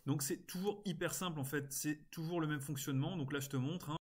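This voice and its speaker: A man presenting an informal screen-recorded software tutorial, explaining step by step in a casual way with frequent little interjections. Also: on the high side, a little fast, slightly rough. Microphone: screen-recording voice-over.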